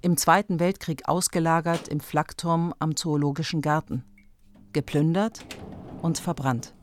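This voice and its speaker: A woman delivering literary scripted narration for a radio play in a measured, lyrical way. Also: sits low, steady tone. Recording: music behind the voice.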